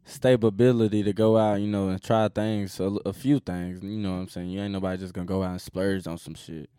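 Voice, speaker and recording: A man's voice: low-pitched, steady tone, a little fast, warm tone, clean tone, dry recording, clean studio sound. A young man in casual podcast chat, laid-back, blunt and joking. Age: young adult.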